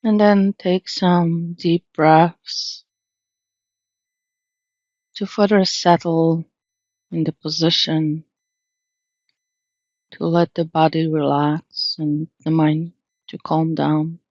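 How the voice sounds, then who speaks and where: Casting: female meditation guide, calm and unhurried, lowish pitch, long pauses, dry and slightly dull lo-fi recording. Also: no room sound. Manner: calm, soothing, long pauses between phrases.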